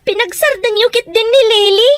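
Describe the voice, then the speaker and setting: A woman's voice: very high voice, moderate pace, a bit bright, no echo. A woman playing a burdened, reproachful young eldest daughter in a radio drama, with a Filipino accent.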